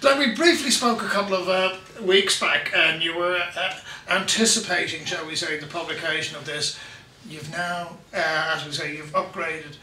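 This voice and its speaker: A man's voice: fairly high pitch, moderate pace, pushed and bright, reverberant, distant mic, slight background noise, poor audio. A middle-aged Irish man hosting a radio interview, hesitant and full of filler words, in a hotel room. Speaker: radio show host.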